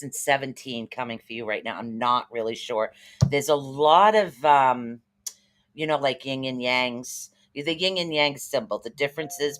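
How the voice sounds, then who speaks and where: A man with a Boston-sounding East Coast American accent chats on a livestream and gives spirit readings. He is upbeat and rambling, loops back over his own words and fills pauses with "um" and "uh". His voice sits at an ordinary male pitch. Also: fairly bright tone. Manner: rambling, upbeat, heavy on fillers and repeats. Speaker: spirit reader and livestream host. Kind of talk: casual livestream chat with psychic readings.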